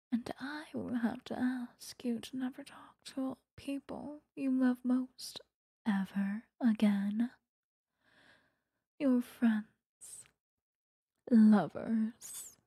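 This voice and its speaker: A woman voicing an obsessive, sweetly menacing vampire lover in a breathy, whispered ASMR roleplay, close to the microphone. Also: a little high, warm tone, no echo, heavily acted. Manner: slow, intimate, coaxing, threatening. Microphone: close microphone, dry.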